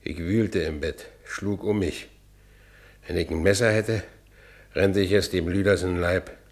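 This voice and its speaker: Low-voiced, brooding ex-convict. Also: male voice, steady tone, measured pace. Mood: agitated. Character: down-and-out Berlin ex-convict man, brooding yet defiant. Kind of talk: radio drama acting, first-person narration.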